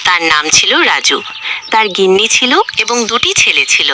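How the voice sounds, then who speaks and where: A woman's voice: mid-range voice, lively intonation, moderate pace, background noise. A woman narrating a scripted story for children.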